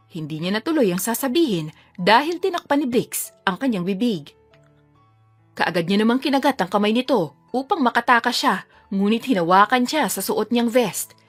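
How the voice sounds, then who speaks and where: Female audio drama narrator, fairly high voice, scripted storytelling over a music bed.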